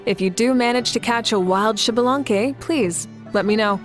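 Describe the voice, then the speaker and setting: A woman's voice: a little high, normal speed, faint music behind, natural voice. An actress playing a composed, confident young female leader in a video game, poised and wryly amused. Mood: wry amusement. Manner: poised, wry.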